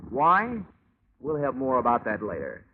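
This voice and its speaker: An actor playing a wisecracking American insurance investigator, sardonic and clipped, in 1940s radio drama style. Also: male voice, medium pitch, huge pitch swings, unhurried, a little hiss or hum. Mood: brisk, confident. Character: wisecracking, hard-boiled male insurance investigator. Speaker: lead actor and narrator in a radio detective serial. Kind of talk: 1940s American radio drama acting, hard-boiled narration. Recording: muffled, narrow-band old radio recording.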